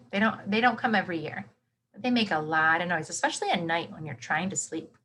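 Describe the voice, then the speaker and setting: A woman's voice: medium pitch, a bit bright, a little hiss or hum. A woman reading a nonfiction book aloud to children, expressively, with simple explanations and reactions as a teacher would.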